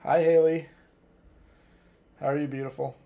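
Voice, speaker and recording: A man's voice: a little high, little pitch movement, moderate pace, vocal fry, phone-quality sound. A man chatting casually as a livestream host while he plays a game, effusive and affectionate toward his viewers.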